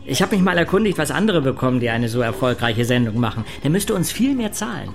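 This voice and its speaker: Plain-spoken, insecure radio producer. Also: male voice, mid-range voice, big pitch swings, fast talker, faint music behind. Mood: eager. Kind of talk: German radio drama acting.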